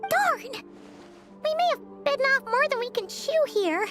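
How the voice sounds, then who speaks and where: A woman voicing a tiny, chatty, excitable fairy companion with a squeaky childlike voice in a video game. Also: normal speed. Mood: dismayed, curious. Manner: perky, exclaiming. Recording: game music behind the voice.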